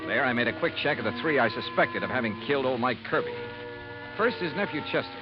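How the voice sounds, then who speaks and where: An actor playing a hard-boiled investigator who narrates his own case in a 1950s radio drama, crisp and brisk. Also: mid-range voice, lively intonation, lightly acted. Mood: narrating. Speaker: narrator and lead detective. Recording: muffled old radio broadcast with music.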